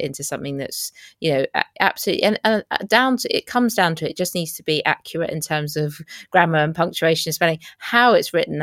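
A woman's voice: low voice, no room sound, studio quality. A woman hosting a podcast interview, affirming her guest and reflecting thoughtfully, with some hesitations. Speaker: podcast host.

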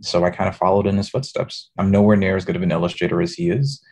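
A man from New City, a design professor giving thoughtful, measured answers as a podcast guest. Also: deep voice, steady tone, a little mellow, breathy edge. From New York City. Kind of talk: podcast interview.

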